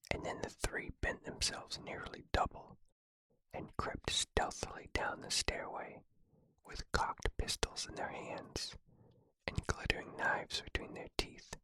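Slow breathy whisper reading a storybook. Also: very high-pitched, no echo, rough recording. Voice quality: soft unvoiced whisper throughout. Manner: slow, even, hushed delivery.

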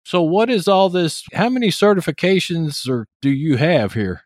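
A man's voice: fairly high pitch, lively intonation, moderate pace, no room sound. Texan man hosting a podcast, dry close sound. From Texas, United States. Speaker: podcast host. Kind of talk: podcast hosting, sponsor read and interview chat.

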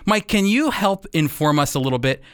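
A man's voice: fairly high pitch, animated, fairly quick, fairly bright tone, clean tone, no echo, studio quality. A man hosting a radio teaching program, presenting and interviewing.

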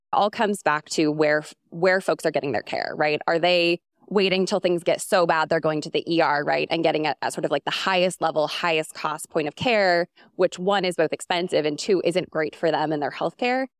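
A woman panelist speaking deliberately and explaining step by step, often checking in with "right?". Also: sits low, dry recording, studio quality. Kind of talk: panel discussion answer.

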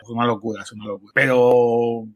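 A man's voice: medium pitch, little pitch movement, quick pace. A man with an accent from Spain talking casually as an interview guest, emphatic and often repeating his words. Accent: Spanish from Spain. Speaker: podcast guest, filmmaker.

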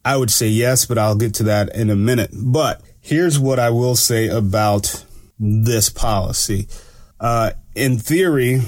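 A man hosting his own radio talk show, sounding a bit stuffy from allergies and talking in a relaxed, rambling way with lots of fillers. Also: mid-range voice, a little slow, no echo, studio quality. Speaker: radio show host and law enforcement analyst. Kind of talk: casual solo radio talk.

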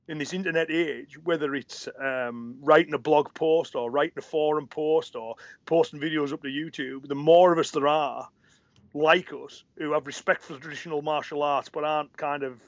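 A man's voice: fairly high pitch, steady tone, a little fast, no room sound. A man talks as an interview guest, earnest and encouraging, often repeating words as he thinks. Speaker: interview guest, martial arts teacher. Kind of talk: casual conversation. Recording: dry, muffled audio.

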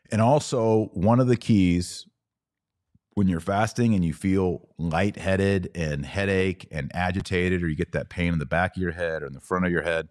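Deep-voiced man chatting on a livestream. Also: a little mellow, no echo, studio quality. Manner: informal, emphatic, repeats phrases for emphasis. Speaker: podcast host. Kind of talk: casual livestream chat answering viewer comments.

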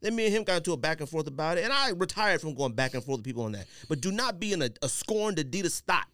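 Animated, higher-pitched man bantering casually. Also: quick pace, dry recording, clean studio sound. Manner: animated, slangy, emphatic repetition. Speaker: podcast host. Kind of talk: casual sneaker podcast banter.

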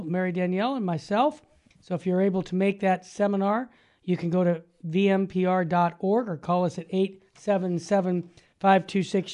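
A man's voice: sits high, a little mellow. An older man co-hosting a radio talk show, upbeat and emphatic, delivering punchy slogans and promos.

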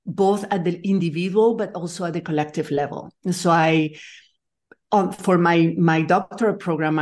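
A woman's voice: deep voice, a little mellow. A woman from Nicaragua with a Nicaraguan Spanish accent, talking thoughtfully as an interview guest and often restarting to find her words. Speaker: guest, leadership coach. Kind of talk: conversational interview.

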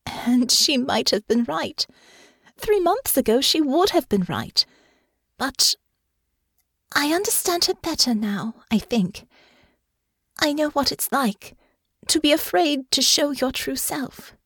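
A woman's voice: high voice, sing-song, normal speed, no room sound, studio quality, voice pushed up. A woman voicing an earnest, well-spoken young woman with formal, polite phrasing and open feeling. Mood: earnest, rueful.

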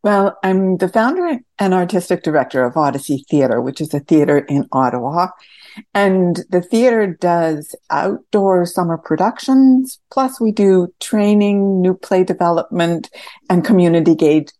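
Woman with a mellow, lower voice. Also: no room sound. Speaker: theatre artistic director, interview guest. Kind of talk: reflective interview answers.

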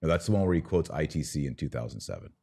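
A man with a very low voice hosts a religious podcast. He speaks conversationally but emphatically, building arguments with rhetorical questions and quoted passages. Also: lively intonation, very fast, gravelly, dark tone. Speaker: podcast host. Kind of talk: conversational religious commentary with read-out quotations.

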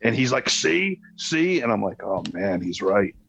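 A man's voice: fairly low pitch, a little slow, gravelly, slight background noise. A man in his late forties chatting about sports on a podcast, telling rambling anecdotes. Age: 40s. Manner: tells anecdotes with relaxed, rambling restarts. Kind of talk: casual chat.

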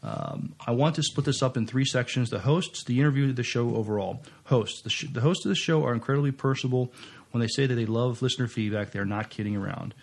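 A man co-hosting a podcast, talking casually in an understated, halting way. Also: medium pitch, vocal fry. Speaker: podcast co-host. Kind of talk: casual podcast chat, reading listener reviews.

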